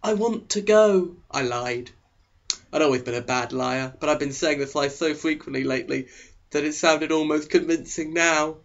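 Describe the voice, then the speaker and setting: A fairly high-voiced man reads a novel aloud as scripted narration. His delivery is sarcastic and melodramatic, and the recording sounds slightly dull. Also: level tone, normal speed, creaky, fairly bright tone. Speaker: audiobook reader.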